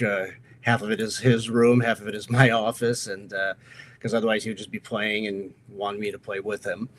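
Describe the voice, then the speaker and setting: Casting: man with a loud, projecting voice for a relaxed livestream guest, loose and rambling delivery. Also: lowish voice, slight background noise. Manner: loose storytelling with frequent uh fillers. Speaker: guest, atheist group president. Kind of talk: casual livestream chat.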